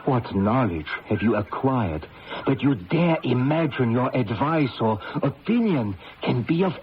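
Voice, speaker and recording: An actor playing a stern, scolding emperor who lectures with oratorical reproach in an old-time radio drama. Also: male voice, mid-range voice, big pitch swings, a little slow. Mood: stern, reproachful. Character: stern scolding Austrian emperor, disapproving older brother. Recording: dull lo-fi radio, faint noise.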